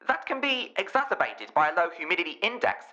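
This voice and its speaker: A man's voice: high-pitched, very animated, brisk, bright, forceful tone, heavily acted. An English man playing a pompous, pedantic geography radio DJ in a comedy radio play, with presenter patter through a radio filter. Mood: brisk, officious. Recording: dull band-limited radio sound.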